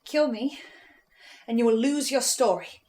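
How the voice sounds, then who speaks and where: A woman playing a composed, eerie researcher in a horror audio drama, speaking in a deliberate theatrical way. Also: a little high, darkish tone, natural voice. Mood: intense, persuasive. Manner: slow, deliberate, ominous, suave.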